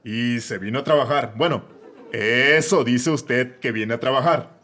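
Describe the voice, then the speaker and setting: A man's voice: mid-range voice, a little slow, a bit bright, natural voice. A man with a Mexican accent voicing an irritable judge who barks orders in a comedy radio drama. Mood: exasperated. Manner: exasperated, barking orders. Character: irritable, bossy male judge.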